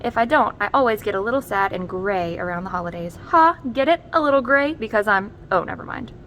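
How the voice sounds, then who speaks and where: A woman's voice: medium pitch, animated, brisk, fairly bright tone, a little hiss or hum, natural voice. A woman voicing a cheerful, slightly awkward crayon pal from a storybook, chatty and jokey. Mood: cheerful, sheepish.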